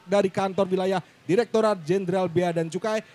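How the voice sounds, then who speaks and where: A man's voice: sits high, steady tone, brisk, a little mellow. A man co-hosting a podcast, joking and teasing in playful banter. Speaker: podcast co-host. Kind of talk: joking casual chat mixing Indonesian and Javanese.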